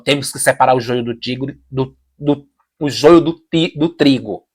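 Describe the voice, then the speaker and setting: A man with a Brazilian Portuguese accent talks through his views on camera. His voice sits in a middle pitch, and he leans on repetition and restarts as he makes his point. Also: measured pace, darkish tone, breathy edge. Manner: emphatic, repeats phrases, restarts sentences. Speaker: reaction video host. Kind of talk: casual opinion commentary.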